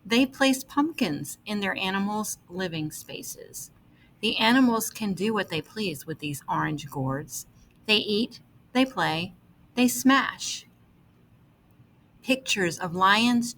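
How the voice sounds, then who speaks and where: A woman reading an article aloud as scripted narration, with measured, deliberate phrasing. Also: medium pitch, a bit bright, a little hiss or hum, rough recording.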